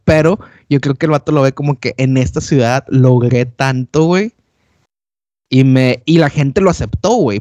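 A man's voice: mid-range voice, a little mellow, dry recording. Slangy, reflective man, dry sound. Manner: slangy, reflective, hedging with fillers. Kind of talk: casual chat.

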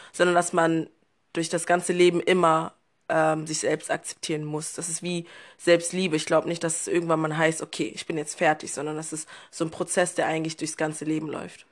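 A woman in her early twenties with a Berlin accent, a podcast guest talking thoughtfully with hesitations and fillers. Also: fairly low pitch. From Germany. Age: 20s. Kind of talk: casual conversation.

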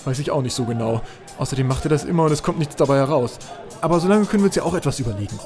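A man's voice: mid-range voice, lively intonation, very fast, over music, natural voice. A man playing a naive, chatty, whiny professor adventurer in a comedic fantasy audio drama. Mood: nagging. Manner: whiny, childish, babbling.

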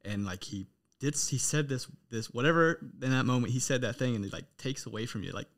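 A man chatting casually on a podcast, quick and animated, swearing freely as he reflects. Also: medium pitch.

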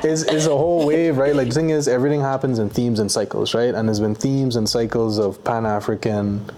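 A young man, a guest in a casual interview, talking in a relaxed, slangy and reflective way. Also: medium pitch, a little fast, a little hiss or hum. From Bahamas. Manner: laid-back, slangy, reflective.

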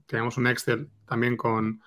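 A man's voice: mid-range voice, monotone, moderate pace, fairly bright tone. A man with an accent from Spain chatting informally as an interviewed screenwriter, often checking in with short tag questions. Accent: Spain Spanish. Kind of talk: casual chat.

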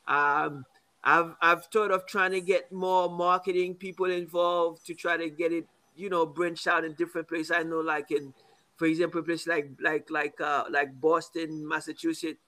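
A man in his fifties from Haiti, a coffee business owner, talking casually in an interview with halting, repeated words. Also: on the high side, level tone, pushed and bright. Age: 50s.

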